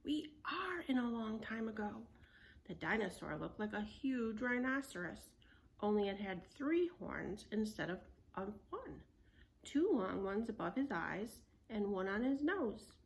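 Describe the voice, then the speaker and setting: Slow-paced woman reading a children's story. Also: medium pitch, sing-song, gravelly, rough recording. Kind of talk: reading a children's book aloud.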